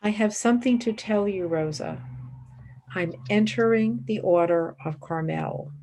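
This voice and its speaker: A serene, determined young novice-to-be in a radio drama, played by a woman. Her speech is slow and solemn, with a creaky edge on a middle-pitched voice. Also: warm tone, poor audio. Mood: solemn resolve. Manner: measured, solemn declarations. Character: resolute, serene, devout woman becoming a nun. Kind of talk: radio play acting.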